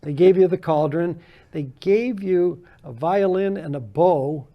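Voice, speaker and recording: A man's voice: on the high side, measured pace, highs cut off. An older American man, a guest in an interview, chatting casually and telling anecdotes about his hobby with frequent laughs. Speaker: guest model builder.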